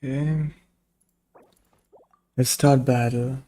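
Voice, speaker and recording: A man's voice: medium pitch, steady tone, very slow, warm tone. A man giving casual gameplay commentary, muttering short remarks to himself as he plays. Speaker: game streamer.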